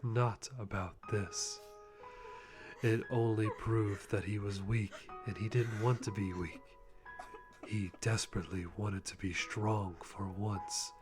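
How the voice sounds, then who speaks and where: A man's voice: fairly low pitch, steady tone, slow. A man giving a dramatic live reading of fan fiction in a mock-serious way, often close to laughing. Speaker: channel host reading a fanfic. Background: background music.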